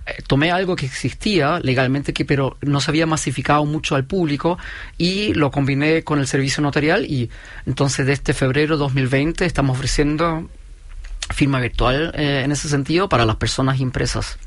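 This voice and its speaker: A man in his forties with a German-Chilean accent, a company founder calmly explaining his business point by point in an interview. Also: a little high, normal speed, no room sound. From Chile, raised in Germany. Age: 40s. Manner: steady, methodical, explains point by point.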